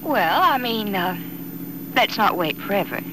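Woman playing young sweetheart, tender and earnest, soothing daughter, mid-pitched, vintage radio serial, noisy old recording. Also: animated, measured pace, lightly acted. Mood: tender, playful. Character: affectionate young bride-to-be, cheerful, calming daughter. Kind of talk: 1940s radio soap opera acting.

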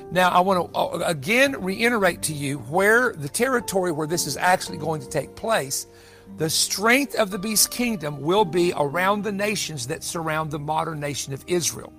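A man's voice: high voice, lively intonation, normal speed, a bit bright, soft background music. A man preaching in a Bible-teaching style, explaining things emphatically and restating his points as he goes. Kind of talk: sermon-style Bible teaching, partly off the cuff.

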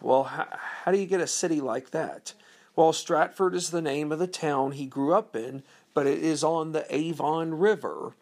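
A man's voice: fairly high pitch, normal speed, darkish tone. A man giving an unscripted history talk, hesitant and full of ums and uhs, asking his listeners questions. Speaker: history presenter.

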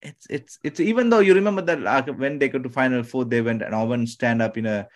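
A man from Sri Lanka, a podcast guest chatting casually, talking in halting bursts with many restarts and sudden emphasis. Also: medium pitch. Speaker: podcast guest, former contestant. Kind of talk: casual chat.

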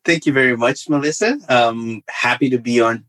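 A middle-aged man with a Zambian accent telling his life story as an interview guest, in measured, gracious, rather formal phrasing. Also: medium pitch, a bit bright. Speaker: podcast guest, author and engineer.

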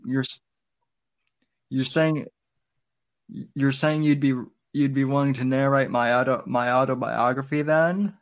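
A man's voice: medium pitch, fairly even intonation, unhurried, pauses often. A young man hosting a casual livestream, stumbling and repeating his words as he starts sentences. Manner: hesitant, repeats his words, reads chat. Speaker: livestream host.

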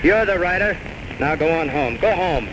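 An actor playing a gruff, no-nonsense bartender in an old radio drama, blunt and scolding. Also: male voice, fairly high pitch, lively intonation, normal speed, natural voice. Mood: stern. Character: gruff, no-nonsense male bartender refusing a drunk. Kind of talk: 1940s radio drama acting. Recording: noisy old radio broadcast.

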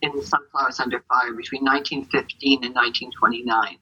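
A woman's voice: a bass voice, steady tone, brisk, sharp tone, breathy edge, highs cut off. A woman from Canada, an author, chatting in an interview over a video call, hesitant with many fillers. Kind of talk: casual interview.

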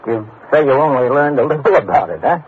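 An actor playing a steady, tough American adventurer in a 1950s radio drama, with a clipped, plainspoken delivery. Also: male voice, medium pitch, fast talker, lightly acted. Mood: easygoing. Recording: noisy, tinny vintage radio recording.

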